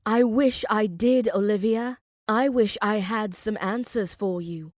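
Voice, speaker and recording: A woman's voice: medium pitch, a little slow, a little mellow, no echo, clean studio sound, lightly acted. Woman playing a formal British gallery owner. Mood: sorrowful, controlled. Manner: formal, controlled. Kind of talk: audiobook character voice.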